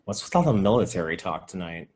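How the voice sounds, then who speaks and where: Man as naive young video clerk, very animated pitch, earnest, dramatic, idealistic, comedy script reading. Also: fast talker. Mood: puzzled. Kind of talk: comedy script table read.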